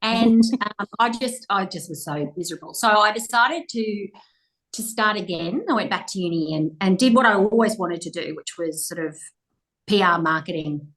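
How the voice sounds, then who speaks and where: A woman's voice: medium pitch, normal speed, low-quality recording. Australian woman from Queensland, interview guest, wry self-mocking, medium voice. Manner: self-deprecating, laughing, warm humor. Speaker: guest, business founder. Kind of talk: interview answers.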